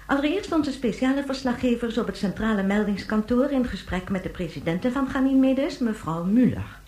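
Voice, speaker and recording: An actress playing a brisk, formal news announcer reading emergency bulletins in a science fiction radio play. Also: female voice, a little high, animated, a little mellow, natural voice. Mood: urgent, official. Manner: clear official announcing. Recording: roomy, echoing sound.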